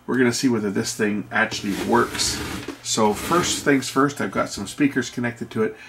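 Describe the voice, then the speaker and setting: A man's voice: medium pitch, normal speed, gravelly, background noise. A man giving an unscripted hands-on walkthrough of equipment as host of a repair video, thinking aloud as he goes.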